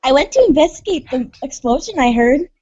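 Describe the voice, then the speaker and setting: A woman's voice: sits high, animated, a little fast, a little mellow, dull audio. A woman acting a sweet, upbeat, sincere teenage girl in a storybook-style reader's theater. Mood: chipper.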